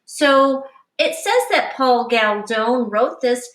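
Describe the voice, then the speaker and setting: A woman teacher reading a picture book aloud to young children in an expressive, instructive way, pausing to explain and addressing them directly. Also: fairly high pitch. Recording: roomy, echoing, distant microphone.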